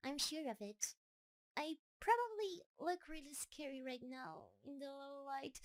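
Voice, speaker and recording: Woman as lovestruck spider creature, high voice, slow pace, nervous, tender, doting, halting delivery, roleplay audio drama. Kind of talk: roleplay audio drama, intimate monologue to listener.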